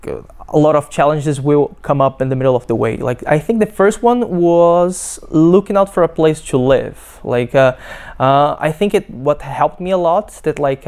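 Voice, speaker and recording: A young man from Brazil with a Brazilian Portuguese accent, a PhD student giving a halting testimonial full of fillers and restarts. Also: fairly high pitch, a little mellow, clean tone. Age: young adult. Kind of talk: testimonial interview.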